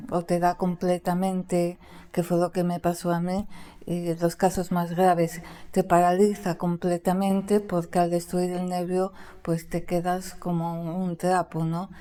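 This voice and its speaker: A woman guest who cannot pronounce the letter R, telling her story plainly with many pauses and fillers. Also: lowish voice, warm tone. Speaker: interview guest. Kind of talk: conversational storytelling.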